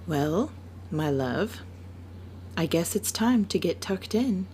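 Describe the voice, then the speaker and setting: A woman playing a loving, gentle mother in an amateur audiobook reading. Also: lowish voice, slow. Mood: tender. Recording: clear steady background noise.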